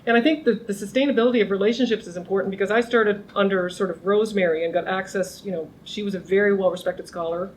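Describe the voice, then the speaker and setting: A woman's voice: medium pitch, fairly even intonation, fast talker, hardly any pauses, low-quality recording. A middle-aged woman lectures informally at a lunch seminar, thinking aloud with frequent restarts. She projects a big voice across the room. A faint background noise sits under her speech. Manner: conversational, frequent restarts, raises her voice to be heard. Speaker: criminology professor. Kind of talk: informal academic talk to a small group.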